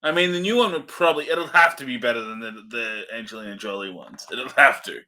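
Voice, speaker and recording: A man's voice: mid-range voice, fast, bright, forceful tone, a little hiss or hum. A man around forty chatting casually as co-host of a film review show. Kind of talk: casual chat.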